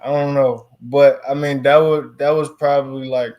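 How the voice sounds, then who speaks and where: A young man with a Chicago accent, answering questions in a casual interview, relaxed and full of fillers like "like". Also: medium pitch, moderate pace, dull audio. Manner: relaxed, filler-heavy, chuckles often.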